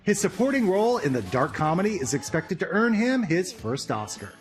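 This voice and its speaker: A man's voice: high-pitched, very animated, fairly quick, music in the background. A man narrating a scripted television news report as a correspondent.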